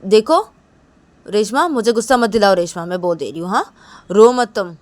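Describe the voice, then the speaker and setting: A woman's voice: medium pitch, animated, moderate pace, no echo, voice pushed up, natural voice. Woman acting a stern, hot-tempered young woman. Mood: angry, insistent. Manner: terse, insistent, repetitive warnings. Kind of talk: improvised dramatic storytelling dialogue.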